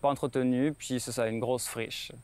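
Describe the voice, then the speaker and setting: A man's voice: mid-range voice, steady tone, moderate pace, darkish tone, clear, resonant tone. A man from France, a market gardener, telling his story casually in an interview, loose with fillers.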